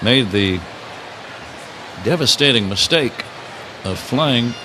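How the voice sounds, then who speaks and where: Animated male baseball announcer over ballpark noise. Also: mid-range voice, slow pace. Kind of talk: live radio sports commentary with casual banter. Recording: clear ballpark noise behind. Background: outdoor stadium ambience.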